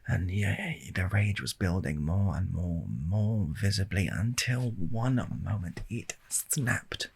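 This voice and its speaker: British guy doing asmr storytime, really breathy n husky, bit creaky, rambles and hesitates. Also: low voice, slow, hardly any pauses. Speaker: ASMR video creator. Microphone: handheld stereo recorder, close up.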